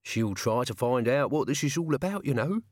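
A man's voice: medium pitch, expressive, normal speed, darkish tone, no echo, studio quality, voice pushed up, close to an everyday voice. A man voicing a cheeky old manservant, blunt and casual, with colloquial speech and dropped consonants. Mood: blunt, matter-of-fact.

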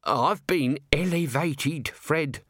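A man's voice: fairly high pitch, expressive, unhurried, a bit bright, no echo, studio quality, voice pushed up. A man voicing a scruffy small male corporal who moans and whines. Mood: woeful. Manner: moaning, whining.

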